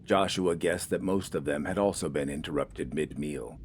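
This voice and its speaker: A man reading a scripted dramatic story in a measured, deliberate storytelling manner. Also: rumbling low, a little hiss or hum. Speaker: audiobook narrator.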